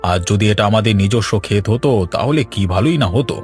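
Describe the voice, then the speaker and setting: A man's voice: fairly low pitch, animated, fast, faint music behind, natural voice. A man voicing a resigned, weary elder brother, a village sharecropper in a cartoon, with a plain, rueful delivery. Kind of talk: cartoon voice acting.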